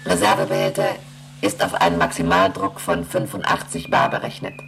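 An actress playing a female ship's computer in a German radio play, reciting emergency readings in a clipped, procedural monotone. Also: a bass voice, fairly quick, gravelly, slight background noise, exaggerated voice. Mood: impassive, procedural.

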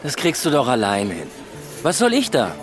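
An actor playing an evasive, grumbling police detective in a radio drama, casual and dismissive. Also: male voice, medium pitch, wildly swooping pitch, moderate pace, over music.

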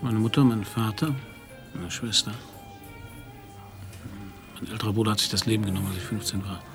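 A man's voice: on the low side, fairly even intonation, brisk, over music, lightly acted. An actor in a German radio play voicing a bitter, grieving Danish man, brooding, weary and sardonic. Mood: gloomy, subdued. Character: bitter, grieving Danish man whose dog was stolen.

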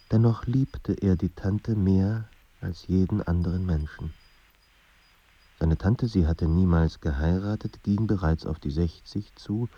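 A man narrating a melancholy literary radio drama in a measured, sombre manner. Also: low voice, warm tone, slightly rough, muffled recording.

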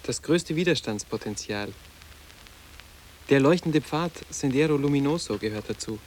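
A man's voice: mid-range voice, animated, moderate pace, a little mellow, slight background noise, close to an everyday voice, poor audio. A male actor playing a sarcastic, idealistic schoolboy in a radio play, speaking in terse, dry statements. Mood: determined. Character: sarcastic, idealistic teenage schoolboy resisting his elders. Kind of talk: literary German radio play acting.

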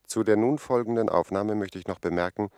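Actor as deep-voiced, guarded secret agent. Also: male voice, brisk, darkish tone, slight background noise, close to an everyday voice. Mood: sober, reporting. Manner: sober, formal, guarded. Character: discreet, deferential secret agent narrating his report. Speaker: actor and narrator in radio drama. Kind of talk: 1960s German-language radio drama, report narration.

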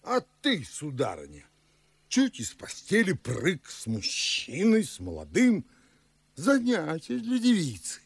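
A gravelly, husky old household patriarch in a verse radio drama. He is pompous and fretful, scolding in slow, blustering lines that swing widely in pitch. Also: wildly swooping pitch, no room sound, heavily acted. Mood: indignant scolding. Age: older. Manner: blustering, theatrical. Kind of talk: classic verse radio play acting.

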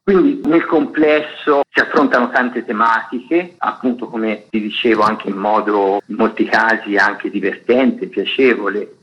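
A man's voice: lowish voice, measured pace, few pauses, breathy edge, muffled recording. A man explaining a programme at length as an articulate guest in a radio interview. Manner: articulate, long-winded explanatory sentences. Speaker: festival artistic director, interviewed guest.

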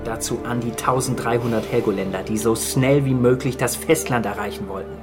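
Music plays clearly behind an actor playing a male survivor in a radio drama. His voice sits at a medium pitch, and he gives grim details tersely and sombrely. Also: fairly quick, close to an everyday voice.

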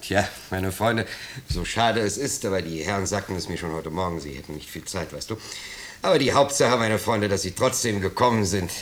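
An actor playing an anxious, ingratiating middle-aged bourgeois host in a 1950s radio play, pleading and blustering. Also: male voice, low voice, lively intonation, a little fast. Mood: effusive, pompous. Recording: noisy 1950s radio recording.

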